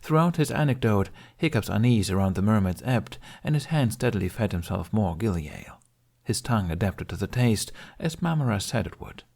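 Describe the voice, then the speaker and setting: A man with a lowish, creaky voice reads scripted fiction. He narrates in the manner of an audiobook. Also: lively intonation, fairly quick, darkish tone.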